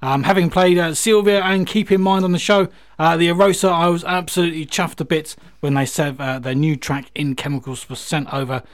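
Man, radio music host, slightly high voice, enthusiastic, rambling, casual and chatty. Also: steady tone, a little fast, hardly any pauses. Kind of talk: casual chatty track introductions.

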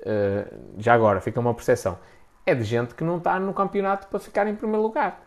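A man's voice: on the high side, steady tone, quick pace. Blunt Portuguese man talking informally. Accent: European Portuguese. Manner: blunt, slangy, emphatic with repetition. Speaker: content creator giving business advice. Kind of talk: informal opinionated talk to an audience.

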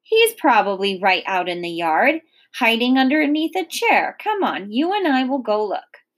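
Woman reading as a calm, reassuring father. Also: on the high side, measured pace.

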